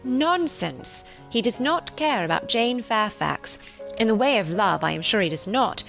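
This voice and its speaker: A woman voicing a spirited, opinionated young gentlewoman in an audiobook, with emphatic, exclamatory delivery and formal period phrasing. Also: mid-range voice, animated, a little slow, gravelly, faint music behind. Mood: dismissive, insistent.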